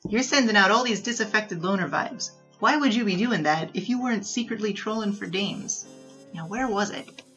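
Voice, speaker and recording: A woman's voice: mid-range voice, normal speed, a bit bright, close to an everyday voice. A woman playing a glib, flirtatious bandit who confesses and argues in a comedic noir audio drama. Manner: glib, digressive, argumentative. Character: brash, chatty, flirtatious female bandit king confessing her crimes. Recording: music bed under the voice.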